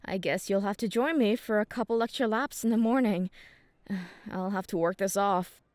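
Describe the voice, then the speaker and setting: A woman's voice: medium pitch, moderate pace, darkish tone, close to an everyday voice. A woman playing a nice, sociable teenage cheerleader in an audio drama. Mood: friendly.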